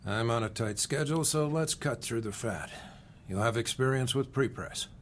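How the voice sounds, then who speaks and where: A man's voice: mid-range voice, moderate pace, slight background noise, lightly acted. An actor playing a brusque, busy, domineering male publisher in a radio drama, with curt, clipped phrasing. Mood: brisk, businesslike.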